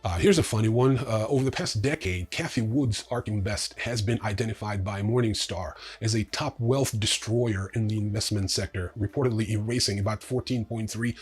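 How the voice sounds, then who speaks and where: Low, husky male news host. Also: normal speed, hardly any pauses. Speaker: crypto news show host. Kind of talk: semi-scripted news recap commentary.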